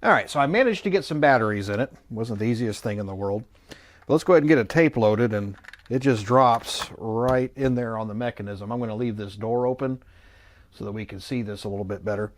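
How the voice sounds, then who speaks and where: Low-voiced man casually reviewing a gadget. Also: fairly quick. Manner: musing, hesitant, mildly skeptical. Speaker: host of an electronics review channel. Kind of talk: casual unscripted product review, thinking aloud.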